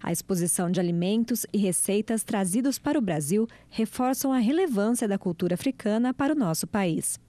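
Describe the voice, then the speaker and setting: Mellow-voiced woman news reporter. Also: mid-range voice, expressive, fairly quick, hardly any pauses, slight background noise.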